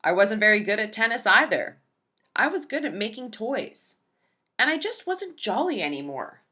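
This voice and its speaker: Female storyteller for kids' picture books: lively, swooping delivery, bright forward tone, emphatic phrasing. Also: fairly high pitch, moderate pace. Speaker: read-aloud storyteller. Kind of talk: expressive children's picture book read-aloud.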